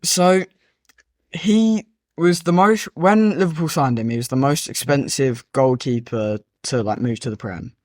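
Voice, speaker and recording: A man's voice: medium pitch, a little slow, dry recording. A man with a mid-range voice makes his case earnestly, hesitating and filling gaps with "like". His voice is recorded dry and close. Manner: earnest, hesitant, many "like" fillers. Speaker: podcast guest. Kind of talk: casual football discussion.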